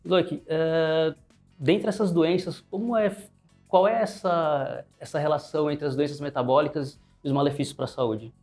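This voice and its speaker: A man with a Brazilian Portuguese accent hosting an interview, often filling pauses with "né" and "é". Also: on the high side, fairly even intonation, a little mellow, soft background music. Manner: frequent "né" and "é" fillers. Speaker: host, pharmacy advertising manager.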